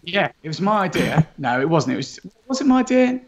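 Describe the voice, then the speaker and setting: A man, a game producer, chatting casually and teasing a colleague with quick banter. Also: fairly high pitch, big pitch swings.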